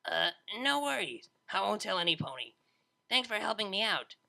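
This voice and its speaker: A woman playing a laid-back young unicorn DJ in an audio story, talking in a casual, halting, awkward way. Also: medium pitch, very animated, gravelly, sharp tone, heavily acted.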